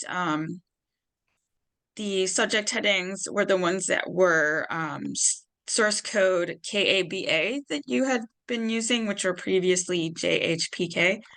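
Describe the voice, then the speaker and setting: A woman's voice: medium pitch, a bit bright. A woman chairing an online meeting, asking polite questions with frequent ums, recorded through a computer mic on a video call.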